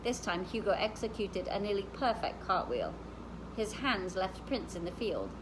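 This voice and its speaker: A woman reading a children's novel aloud in an expressive storytelling style. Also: medium pitch, normal speed, background noise.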